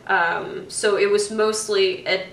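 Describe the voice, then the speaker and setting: A young woman from Denmark speaks casually and thoughtfully, pausing often and restarting her sentences. Her voice sits at an ordinary pitch and comes from a little way off the mic. The room around her is echoey, with a faint hiss in the background. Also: steady tone, fairly bright tone, recorded from a distance. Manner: thoughtful, hesitant with many ums and restarts. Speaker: former student. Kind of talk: casual talk.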